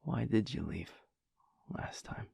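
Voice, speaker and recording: A man playing a flirty but tender werewolf in an intimate romantic audio roleplay, speaking in a husky, breathy murmur with a halting, teasing manner. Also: lowish voice, animated, darkish tone, whispered, exaggerated voice. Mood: earnest, hesitant. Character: flirty, feisty male werewolf, tender and earnest underneath.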